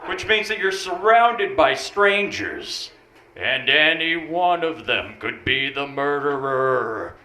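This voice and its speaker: Deep-voiced deadpan man doing stand-up. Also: takes it slowly. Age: 30s. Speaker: stand-up comic. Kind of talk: deadpan stand-up one-liners. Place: small bar comedy stage. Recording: roomy bar with crowd noise. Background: audience laughter.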